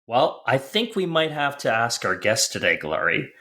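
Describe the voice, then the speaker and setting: A man's voice: mid-range voice, very animated, normal speed, pushed and bright. Chatty male co-host. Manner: chatty, playful, rambling. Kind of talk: podcast hosting.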